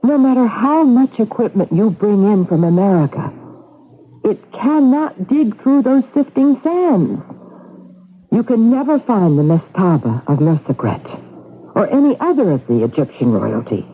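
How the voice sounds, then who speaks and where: An actress playing a young, scheming wife with a tender front, in dramatic 1970s radio style. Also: female voice, a little high, big pitch swings, unhurried, slightly rough, full character voice. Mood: challenging. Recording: tinny, band-limited old radio recording.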